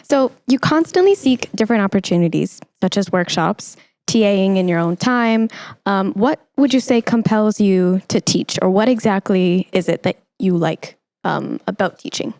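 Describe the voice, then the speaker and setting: A woman's voice: mid-range voice, moderate pace, a little mellow, no echo. A young woman hosting a conversational podcast interview, speaking in an effusive, encouraging way. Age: young adult. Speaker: podcast host.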